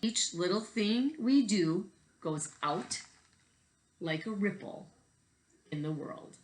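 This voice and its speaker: A woman's voice: mid-range voice, animated, takes it slowly, pitched above the performer's own voice, poor audio. A woman voicing a kind, instructive female schoolteacher in a storybook. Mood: gently teaching.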